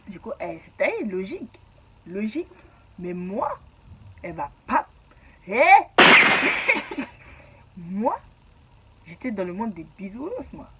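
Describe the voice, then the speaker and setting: A woman's voice: medium pitch, very animated, slow, pauses often, very noisy. A young woman from Ivory Coast telling her own story in a casual, slangy way and laughing at herself.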